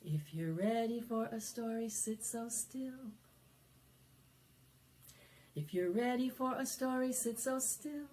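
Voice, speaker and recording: A woman presenting storytime for young children, singing and reading aloud in an animated, sing-song way that coaxes them to join in. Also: a little high, slow, long pauses. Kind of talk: interactive preschool storytime, singing and read-aloud.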